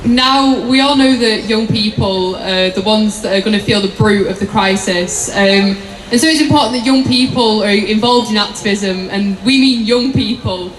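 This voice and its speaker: A woman's voice: medium pitch, normal speed. A woman acting as rally host, making informal, chatty and encouraging introductions. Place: outdoor city centre rally. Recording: echoey outdoor PA, crowd and noise.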